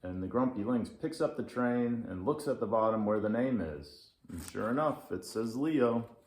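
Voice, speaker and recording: A man's voice: lowish voice, animated, normal speed, a little mellow. A man narrating a children's story as a storyteller. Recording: roomy echo.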